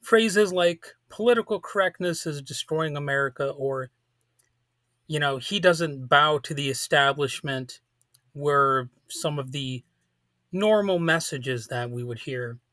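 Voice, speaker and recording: Male political commentator, bright forceful voice, slightly high, close dry sound, deliberate emphatic phrasing. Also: dry recording. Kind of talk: political commentary monologue, part scripted.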